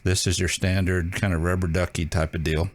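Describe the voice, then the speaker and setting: A man hosting a casual hands-on tutorial, talking informally and haltingly as he thinks aloud. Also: deep voice, level tone, dry recording. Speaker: video host demonstrating a radio.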